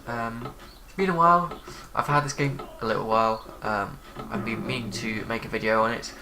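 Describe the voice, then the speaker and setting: A man's voice: medium pitch, steady tone, normal speed, sharp tone, a little hiss or hum. A man with a cold, giving rambling, hesitant commentary while he plays a game. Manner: rambling, hesitant with many fillers. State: congested with a cold. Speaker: gaming channel host. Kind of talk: casual let's play commentary.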